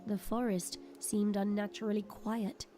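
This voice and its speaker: A woman giving dramatic scripted narration for an audio drama, expressive and suspenseful. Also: mid-range voice, measured pace. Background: prominent background music.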